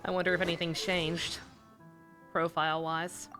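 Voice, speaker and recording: Bright, low-voiced woman streaming casually. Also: little pitch movement, moderate pace, soft background music. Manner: rambling, blunt, swears freely. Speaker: game livestreamer. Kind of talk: casual livestream commentary.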